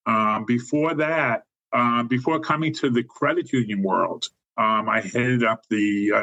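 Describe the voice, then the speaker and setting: A man in his sixties with a strong New York accent, telling his story in a loose, chatty way full of "um"s and wry jokes about himself. His voice sits low but rings bright and forward. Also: poor audio. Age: 60s. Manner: chatty, self-deprecating, filler-heavy. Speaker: guest, mortgage insurance sales executive. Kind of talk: conversational podcast interview.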